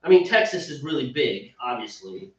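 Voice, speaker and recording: A man's voice: mid-range voice, sing-song, normal speed. A man with an American accent in casual, teasing banter as a home brewer co-hosting a brew day. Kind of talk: casual chat. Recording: very echoey, distant, dull lo-fi sound.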